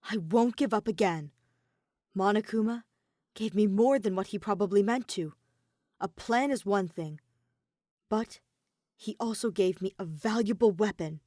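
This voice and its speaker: A woman's voice: medium pitch, a little slow, long pauses, dark tone, no room sound, clean studio sound, natural voice. A woman voicing a desperate, determined teenage boy in a story, with an intense, pleading manner. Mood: resolute.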